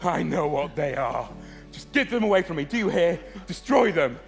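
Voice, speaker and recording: An angry pantomime king barking orders. His voice is high for a man, gravelly and swinging widely in pitch. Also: big pitch swings, moderate pace, faint music behind. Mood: angry, commanding. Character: irascible, commanding king obsessed with catching a witch. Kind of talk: pantomime stage acting.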